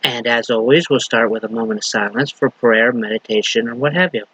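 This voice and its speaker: Minister with a lingering slight cough. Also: male voice, medium pitch, fairly even intonation, fairly bright tone, husky, dry recording, low-quality recording. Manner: unhurried, halting with fillers and restarts. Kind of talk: sermon with story reading.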